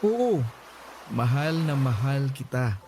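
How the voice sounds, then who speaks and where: A man acting a sheepish, smooth-talking boyfriend who pleads hesitantly in a Tagalog radio drama. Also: mid-range voice, very animated, slow pace, noisy background. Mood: sweet, earnest. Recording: music bed behind the voice.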